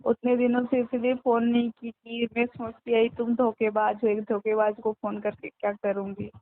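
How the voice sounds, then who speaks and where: A young woman talks privately over a thin, narrow phone line. Her voice is slightly high and stays flat, with a subdued manner. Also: moderate pace, no echo. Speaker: ex-girlfriend on a call. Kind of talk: intimate phone conversation.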